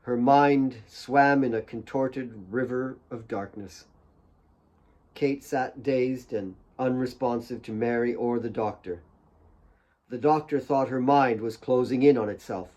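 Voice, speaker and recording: A man's voice: medium pitch, a little slow, darkish tone, lo-fi sound. A man reading his own novel aloud as a dramatic audiobook narration.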